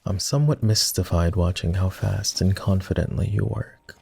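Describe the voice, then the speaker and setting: A man voicing a polite, self-deprecating old vampire in an intimate roleplay ASMR style, with formal, hesitant phrasing and a soft, husky delivery. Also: sits low, steady tone, moderate pace, exaggerated voice. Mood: shy, awkward. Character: polite, self-deprecating, gently teasing ancient male vampire.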